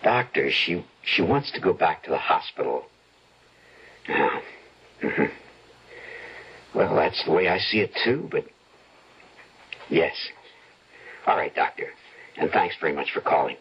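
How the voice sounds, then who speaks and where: Coaxing husband under suspicion: lowish, very husky, theatrical, muffled old radio. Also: male voice, sing-song, measured pace, pauses often, full character voice. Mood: grave, hesitant. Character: smooth-talking, placating husband suspected of scheming against his wife. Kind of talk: old-time radio suspense acting. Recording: dull, muffled vintage radio recording.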